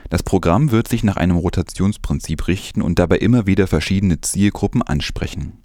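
A man's voice: deep voice, dark tone, no room sound, studio quality. A man reading scripted news as a presenter.

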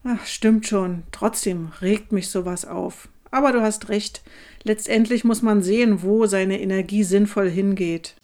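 A woman's voice: mid-range voice, fairly even intonation, measured pace, dark tone, close to an everyday voice. An actress voicing an outspoken, questioning woman in a radio play collage of social media comments, with a pointed, wry and articulate delivery. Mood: frustrated. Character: outspoken working mother and teacher, questioning, wry. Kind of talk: radio play collage reading of online posts.

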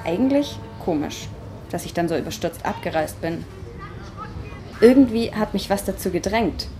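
A woman's voice: medium pitch, animated, normal speed, warm tone, faint music behind, close to an everyday voice. Bewildered, insistent young woman acted in a radio play. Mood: brooding. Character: confused, insistent young woman back in a changed hometown. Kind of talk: amateur radio play acting.

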